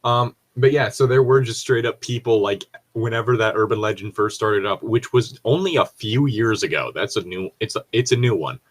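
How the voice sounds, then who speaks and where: Low-voiced man rambling casually. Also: moderate pace, hardly any pauses. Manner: rambling, freely swearing. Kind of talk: casual chat.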